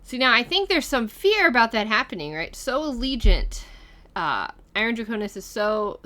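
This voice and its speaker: A woman's voice: medium pitch, fairly bright tone. A middle-aged woman hosting casually, reading comments aloud and musing over them in a conversational way. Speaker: guest host of a gaming channel reading viewer comments.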